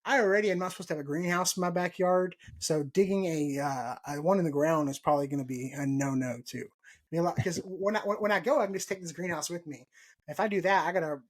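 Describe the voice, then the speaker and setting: A man's voice: a little high, quick pace. Chatty Texan man hosting a video call. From Texas. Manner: laughs often, repeats himself, friendly hedging. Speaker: podcast host. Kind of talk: casual chat on a video call. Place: home room, indoors. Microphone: video call mic.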